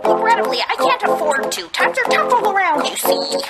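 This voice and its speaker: An eccentric private eye in a musical detective game. The voice is high and leaps wildly, with a stagey sing-song delivery, over loud music. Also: female voice, wildly swooping pitch, a little fast, exaggerated voice. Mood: sing-song, brisk. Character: theatrical, quirky female private eye speaking in rhyme. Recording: music behind the voice.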